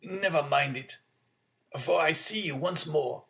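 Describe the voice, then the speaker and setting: Man playing a frail old father, voice pitched up. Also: unhurried, gravelly, fairly bright tone. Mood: relieved, gentle. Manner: hesitant, stammering at times. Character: frail, gentle, proud old father, self-denying. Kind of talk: dramatic audiobook character reading.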